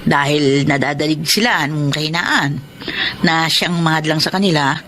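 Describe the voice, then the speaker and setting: An actress playing a sympathetic, devout older friend with a Filipino accent, earnest and consoling, in a radio drama. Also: female voice, deep voice, fairly even intonation, moderate pace, fairly bright tone, slight background noise. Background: music.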